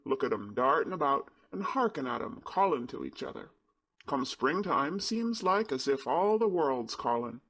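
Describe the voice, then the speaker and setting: A man's voice: mid-range voice, big pitch swings, normal speed. A man doing a broad Yorkshire country lad. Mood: eager. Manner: broad dialect, eager and warm. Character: cheerful Yorkshire country boy.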